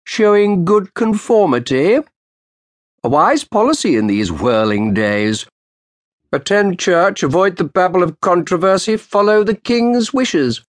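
A man voicing a stern, sardonic old man past sixty with a sharp voice and a clipped manner. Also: on the high side, big pitch swings, measured pace, no room sound, voice pushed up.

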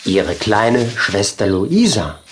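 A children's audiobook narrator who reads very slowly and deliberately. The pitch moves in lively swoops, and there is faint noise behind the voice. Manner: slow, deliberate, expressive storytelling. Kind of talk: scripted storybook narration for children.

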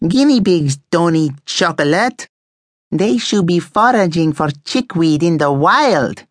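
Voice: mid-range voice, expressive, slow, fairly bright tone, dry recording, clean studio sound, pitched above the performer's own voice. A narrator voicing a cross, fiery male guinea pig revolutionary, speaking dramatically in a children's story. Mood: cross, preachy. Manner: declamatory, dramatic.

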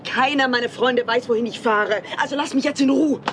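A woman's voice: a little high, a little fast, noisy background, natural voice. An actress playing a proud, impatient female star in an audio drama, dismissive and exasperated. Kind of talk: German audio drama acting.

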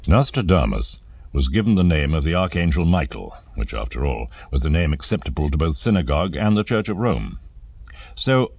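A man narrating a scripted dramatised documentary in a measured, theatrical manner, posing rhetorical questions. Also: extremely low pitch, big pitch swings, vocal fry, a little mellow, no room sound, studio quality.

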